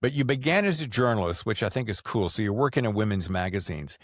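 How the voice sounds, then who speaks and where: Male podcast host: deepish voice with very animated intonation, folksy and conversational, storytelling style. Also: fast talker, dry recording, clean studio sound. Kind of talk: casual conversational interviewing, storytelling.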